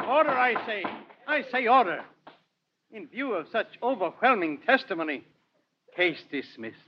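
A man's voice: high voice, sing-song, normal speed, slightly rough, full character voice. A man playing a formal, easily flustered courtroom judge in a 1940s radio drama. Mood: exasperated. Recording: muffled, noisy 1940s radio broadcast.

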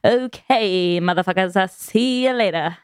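A woman co-hosting a casual podcast, playful with quick asides and casual swearing. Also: mid-range voice, clean tone, no echo, studio quality. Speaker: podcast co-host.

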